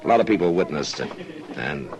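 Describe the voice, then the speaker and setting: An American man acting a weary, steady middle-aged frontier marshal in an old-time radio western, speaking tersely. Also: very deep voice, brisk, a crowd in the background, heavily acted. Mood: grave. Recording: old radio drama with music behind.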